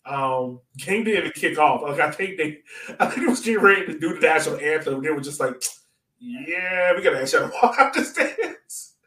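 Male soccer podcast host, mid-pitched, informal and slangy fan chat, very roomy sound, a little off mic. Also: lively intonation, normal speed, bright, forceful tone. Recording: roomy echo, distant from mic.

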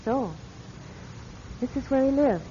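An actress playing an earnest, polite, enthusiastic charity director in a radio drama, gently insistent in manner. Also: female voice, high voice, lively intonation, takes it slowly, slight background noise. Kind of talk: classic radio drama acting. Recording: dull radio sound, long reverb.

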